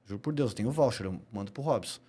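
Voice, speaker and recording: A man's voice: lowish voice, animated, very fast, warm tone, husky. A middle-aged Brazilian man telling stories as a podcast guest, emphatic and given to repeating key phrases. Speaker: podcast guest, personal trainer. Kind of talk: animated storytelling in a podcast interview.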